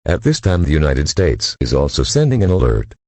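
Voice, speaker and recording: Very deep, husky male alert announcer. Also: big pitch swings, a little fast, darkish tone, no echo. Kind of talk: scripted emergency broadcast announcement.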